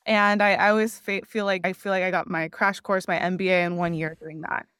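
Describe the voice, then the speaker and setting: A woman's voice: fairly low pitch, flat delivery, a little fast, fairly bright tone, clear, resonant tone, dry recording, clean studio sound. A woman from Miami, a podcast guest, talking in a reflective, earnest way and often saying "like". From Miami, United States. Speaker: podcast guest, customer success leader. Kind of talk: conversational interview.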